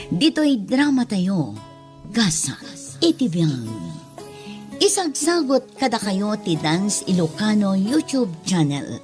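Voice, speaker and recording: A woman presenting an audio drama series in scripted dramatic narration. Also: medium pitch. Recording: faint music under the voice. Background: soft music.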